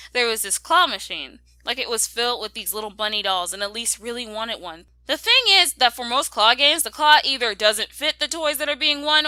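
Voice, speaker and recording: Bright, crisp-edged chatty female vlogger. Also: fairly high pitch, dry recording. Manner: chatty, informal. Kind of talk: casual voice-over storytelling.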